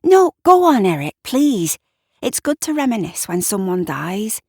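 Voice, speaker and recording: A woman's voice: mid-range voice, sing-song, measured pace, a little mellow, husky, no room sound, studio quality, voice pushed up, full character voice. Woman voicing an eager, boyish younger man. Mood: boyish pleading. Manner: earnest, coaxing. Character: eager younger man, boyishly pleading, lightly joking friend.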